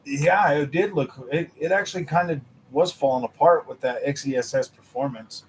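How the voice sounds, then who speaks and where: A young man hosting a gaming channel, chatting casually with his viewers, animated and laughing, his voice a little worn from nearly losing it. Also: normal speed, slight background noise, rough recording. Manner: animated, laughing, mimics others in a high voice. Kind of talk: casual livestream chat with viewers.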